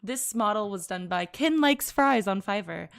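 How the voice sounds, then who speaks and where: A woman's voice: mid-range voice, lively intonation, moderate pace, vocal fry, clear, resonant tone. A woman streaming casually to her chat, giggling often and correcting herself mid-sentence. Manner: giggly, chatty, laughs often between sentences. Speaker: VTuber streamer.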